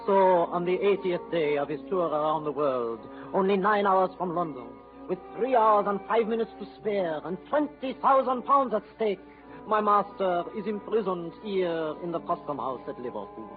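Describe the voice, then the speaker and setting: A high-voiced, fretful French manservant pours out theatrical laments in an old-time radio drama. The sound is thin and tinny, like a vintage broadcast, with music playing beneath. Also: male voice, lively intonation, normal speed. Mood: despairing. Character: fretful, excitable French manservant, loyal to his master. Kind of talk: 1940s radio drama acting.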